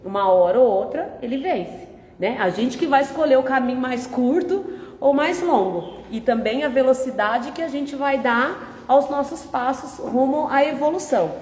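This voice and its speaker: A Brazilian woman giving a gentle, conversational spiritist talk with a nasal, congested voice from a cold. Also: high voice, expressive, normal speed, slight background noise. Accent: Brazilian Portuguese. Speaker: spiritist lecturer. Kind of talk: public talk with opening prayer. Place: large hall of a spiritist center. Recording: echoey hall with long reverb.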